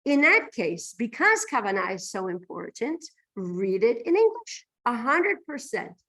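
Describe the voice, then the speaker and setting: Animated woman teaching a religious class. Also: a little high, unhurried. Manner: emphatic, poses rhetorical questions and checks "right?". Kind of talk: lecture to a class, explaining texts.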